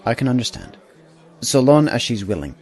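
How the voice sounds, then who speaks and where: A man playing a cautious, loyal stallion ambassador in an audio drama, measured and wary. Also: medium pitch, very animated, a little mellow. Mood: reserved.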